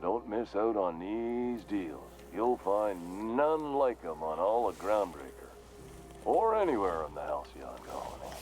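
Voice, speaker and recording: A man's voice: sits low, sing-song, a little slow, darkish tone, faint music behind. An actor playing a corporate vendor in a mascot helmet in a video game, with forced salesman patter over weary resignation. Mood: forced salesman cheer. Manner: forced salesman cheer with slogans, slipping into resignation. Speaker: shop vendor.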